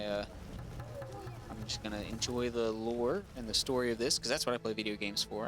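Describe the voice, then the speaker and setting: A man with a raspy voice casually commenting on a video game playthrough, rambling with lots of ums and restarts. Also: lowish voice. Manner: rambling, full of ums and restarts, reads quest log aloud. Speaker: gaming streamer. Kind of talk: casual let's-play commentary.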